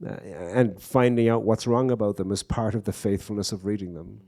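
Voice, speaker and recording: Mellow-voiced Irish man, wry and reflective. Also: on the low side, steady tone, fairly quick. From Ireland. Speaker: poet and guest speaker. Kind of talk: live on-stage conversation. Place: bookstore event stage before an audience.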